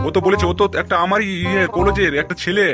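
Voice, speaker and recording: A man's voice: high voice, little pitch movement, fast, lightly acted. A man voicing a blustering, colloquial middle-aged headmaster and father in an amateur comic cartoon. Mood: indignant. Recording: music behind the voice.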